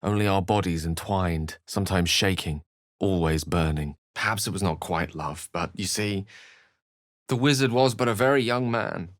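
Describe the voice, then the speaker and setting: A man acting as a refined, scholarly video game wizard in middle age. He phrases things ornately and with wry precision, theatrical yet measured. The recording is close and dry. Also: low voice, no room sound, lightly acted. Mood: solemn, reflective. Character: eloquent, refined adult male wizard companion, wry and scholarly.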